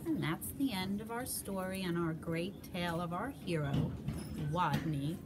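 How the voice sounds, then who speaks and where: A woman teacher reading a picture book aloud, telling it slowly and expressively. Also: lowish voice, background noise. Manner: slow, expressive storytelling.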